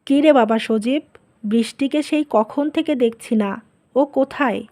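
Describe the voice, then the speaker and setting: A woman's voice: a little high, a little slow, warm tone, natural voice. A woman voicing a greedy, cold-hearted, scheming mother of a grown son in a cartoon drama. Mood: casual, probing. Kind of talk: dubbed cartoon drama dialogue.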